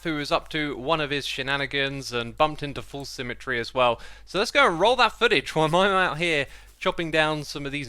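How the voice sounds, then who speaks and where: A man's voice: on the high side, sharp tone, clear, resonant tone, no echo. A man chatting casually as he hosts a gaming video, close on the microphone. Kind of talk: casual gameplay commentary and chat.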